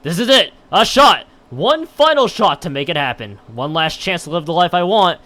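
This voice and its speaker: A man's voice: high-pitched, sing-song, normal speed, sharp tone. A man commentating on a game stream and reading game text aloud in a rambling way, often stumbling and correcting himself. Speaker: live streamer.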